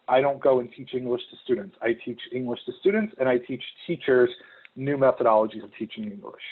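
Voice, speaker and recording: A young man from Ohio talks through an information session in a loose, conversational way, dropping plenty of ums. His voice sits at an ordinary middle pitch. The sound is thin and narrow, like a video call. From Ohio, United States. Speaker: returned volunteer presenter.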